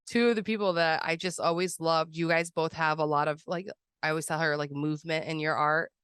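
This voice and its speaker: A woman's voice: deep voice, fairly even intonation, a little fast, fairly bright tone, clear, resonant tone. A woman hosting a podcast, enthusiastic and chatty, who laughs easily with her guest. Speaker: podcast host. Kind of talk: upbeat podcast interview.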